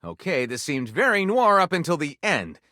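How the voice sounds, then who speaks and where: Video game role: flamboyant male pulp-romance narrator, overwrought and theatrical, hugely animated intonation, close dry sound. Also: fairly high pitch, normal speed, no echo. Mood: delighted, impressed. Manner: theatrical, breathless, overwrought delivery. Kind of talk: dramatic storybook narration.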